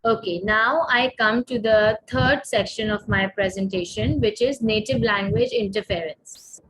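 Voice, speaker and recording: A young woman with a Pakistani accent, a native Urdu speaker, giving a measured grammar presentation with frequent pauses. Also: mid-range voice, fairly bright tone, poor audio. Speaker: student presenter.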